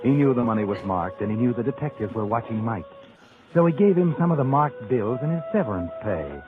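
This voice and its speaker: An actor playing a sardonic, knowing narrator of suspense tales in 1940s radio style, confiding and teasing dramatically. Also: male voice, medium pitch, expressive, a little fast, lightly acted. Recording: muffled vintage radio recording over loud music.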